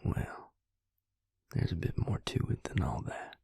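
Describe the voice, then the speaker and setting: A man playing a devious, smug male vampire in an intimate audio roleplay, taunting his victim slowly in a breathy, gravelly whisper. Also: mellow, no room sound, lightly acted. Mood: sly, taunting. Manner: slow, taunting, self-satisfied.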